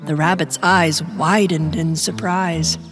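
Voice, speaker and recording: A woman's voice: deep voice, steady tone, takes it slowly. A woman storyteller reading a scripted children's bedtime tale. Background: music.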